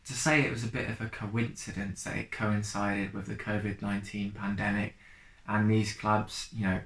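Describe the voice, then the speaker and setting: A man's voice: on the low side, level tone, normal speed, a bit bright. Reflective young man in a roomy space. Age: 20s. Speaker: interviewee, football fan. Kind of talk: documentary interview.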